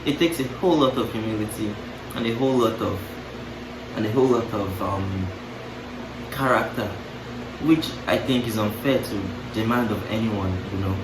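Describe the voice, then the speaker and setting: Young male vlogger, lower voice, loose, musing delivery with joking asides; distant mic, room echo, audible noise. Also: moderate pace. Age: young adult. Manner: musing, self-interrupting, playful asides with laughs. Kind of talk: casual reflective monologue to camera. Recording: roomy, distant mic, clear background noise.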